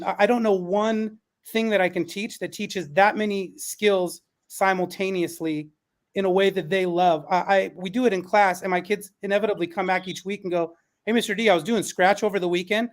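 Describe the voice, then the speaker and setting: A man's voice: high voice, fairly even intonation, brisk. A man speaking conversationally as a panel host and schoolteacher, earnest and appreciative. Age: adult, parent of a newborn.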